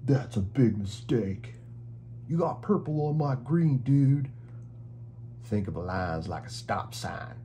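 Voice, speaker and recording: A bossy, disapproving marker from a children's storybook, played by a man. The delivery is emphatic and admonishing, swinging in pitch over a faint hum. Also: expressive, takes it slowly, warm tone.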